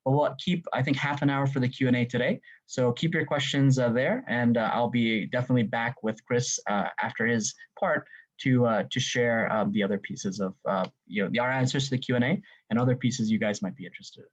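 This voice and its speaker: Male presenter on a video call, husky, filler-heavy delivery, dull audio. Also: mid-range voice, steady tone, brisk. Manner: filler-heavy, many "you know" and "right?". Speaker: webinar presenter. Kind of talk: business presentation.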